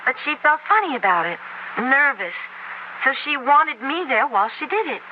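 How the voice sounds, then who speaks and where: An actress playing an anxious, insistent young college student in a 1970s radio drama, speaking in a halting, earnest way. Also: female voice, high-pitched, sing-song. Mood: uneasy. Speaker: actor. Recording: muffled, noisy old radio broadcast.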